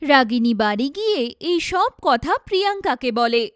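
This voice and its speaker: A woman's voice: sits high, lively intonation, moderate pace, dry recording, clean studio sound. A woman giving scripted narration for a cartoon story.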